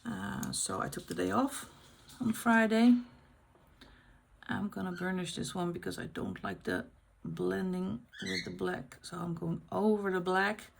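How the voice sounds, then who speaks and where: A middle-aged woman from the Netherlands with a Dutch accent, chatting casually in English as the host of a colouring channel, pausing often to find her words. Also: on the low side, animated, vocal fry, a little hiss or hum. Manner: unscripted, pauses to find words. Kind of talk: casual chat while colouring.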